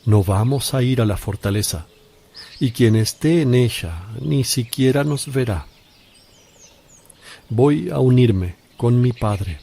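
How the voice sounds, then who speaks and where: An actor playing a calm, gentle but authoritative male teacher with measured, solemn delivery in a religious radio drama. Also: medium pitch, gravelly, full character voice. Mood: gently firm. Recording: faint outdoor birdsong and ambience.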